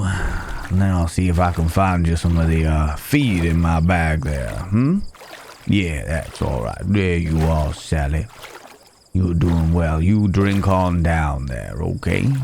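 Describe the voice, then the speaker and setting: A man's voice: low-pitched, a little mellow, full character voice. A man playing a wry, caring cowboy stranger in an ASMR Wild West roleplay, talking in folksy, rambling asides, with crickets behind him. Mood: gentle, fond. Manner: folksy, rambling, murmured asides to horse and companion.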